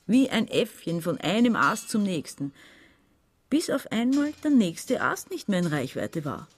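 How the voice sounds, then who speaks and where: An actress playing a resentful, calculating daughter in her forties, giving a measured, confessional radio-drama monologue. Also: mid-range voice, sing-song, slightly rough. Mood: bleak. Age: middle-aged. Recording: ambient noise behind the voice.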